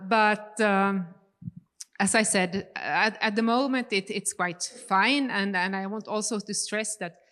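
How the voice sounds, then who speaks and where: A woman's voice: mid-range voice, a bit bright. A middle-aged woman from Finland with a Finland-Swedish accent, a native Swedish speaker answering on a panel in English with many restarts and fillers.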